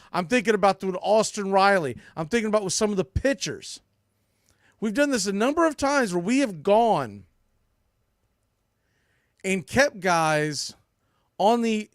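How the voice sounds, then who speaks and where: A man hosting a sports podcast, giving opinionated baseball commentary with emphatic, drawn-out stresses on key words. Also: sits high, fairly bright tone, no room sound, studio quality.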